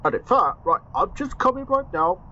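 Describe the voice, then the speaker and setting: A man voicing a clumsy, grumpy bearded character in a home-made storybook play, with squeaky exclaiming and stammering. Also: animated, moderate pace, sharp tone, slight background noise, heavily acted. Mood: cheerful. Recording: dull lo-fi recording, faint music.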